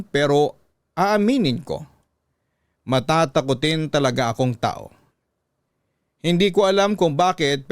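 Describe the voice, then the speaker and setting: A man narrating as a radio host and storyteller, reading in a measured, dramatic scripted style. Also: a little high, clean tone, no room sound, clean studio sound. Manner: measured, reading a letter in first person. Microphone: close studio microphone.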